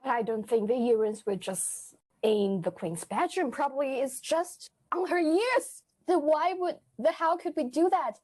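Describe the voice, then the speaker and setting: A woman improvising an earnest former royal maid who is secretly a witch, speaking with halting phrasing. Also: sits high, a little mellow. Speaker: improv player. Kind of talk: improvised comedy scene.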